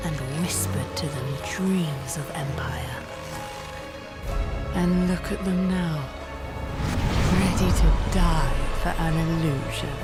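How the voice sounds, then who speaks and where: A woman voicing an ancient, scheming sorceress in a video game, husky, theatrical and haughty in measured phrases. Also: deep voice. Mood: hushed, brooding. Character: ancient scheming sorceress, proud, vengeful, imperious. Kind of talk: video game character voice lines.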